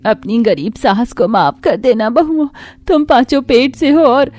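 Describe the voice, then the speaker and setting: A poor old village mother-in-law from a cartoon tale, voiced by a woman. She is self-sacrificing and sorrowful, pleading in a plaintive, often raised pitch. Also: measured pace, a little mellow.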